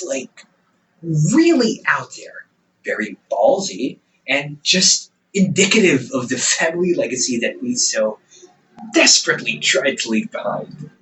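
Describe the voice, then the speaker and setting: A man acts out an ancient demon older brother for an audio roleplay, slightly distant from the mic. His voice is bright and sharp, swinging widely in pitch as he mocks and condescends. He talks like a smug sibling who is casually menacing. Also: heavily acted. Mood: mocking. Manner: sarcastic, condescending, drawling with chuckles. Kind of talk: audio roleplay acting, conversational monologue.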